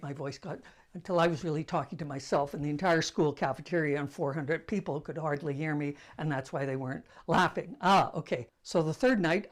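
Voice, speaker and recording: An older man with a soft voice, hosting a casual talk to camera, telling stories in a conversational, self-deprecating way. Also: a little high. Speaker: host.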